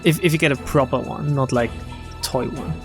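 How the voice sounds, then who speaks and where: A man's voice: mid-range voice, moderate pace. A man streaming a visual novel, reading the text aloud and adding wry, casual asides. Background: game music.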